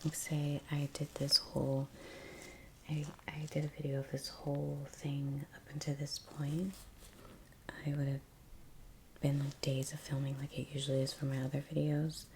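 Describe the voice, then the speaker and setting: A woman speaking in a soft, breathy half-whisper, chatting casually and haltingly in an ASMR style as she paints. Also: deep voice, completely flat delivery, slight background noise, low-quality recording. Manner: unhurried, halting, trailing pauses. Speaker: ASMR painting video creator. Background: soft brush sounds.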